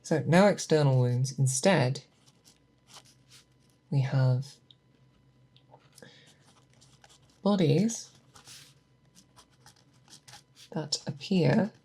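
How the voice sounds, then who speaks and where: A woman playing a seasoned, patient monster hunter who teaches an apprentice in a soft, deliberate, questioning way, in a close-miked ASMR roleplay. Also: sits low, lively intonation, slightly rough, full character voice, rough recording. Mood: patient, probing. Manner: deliberate, instructive, questioning, thoughtful pauses. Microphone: close binaural microphone.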